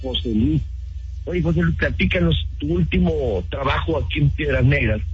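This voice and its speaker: A man's voice: a little high, moderate pace. A man reporting live and interviewing in a conversational way for radio news. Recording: dull lo-fi radio sound, faint music behind.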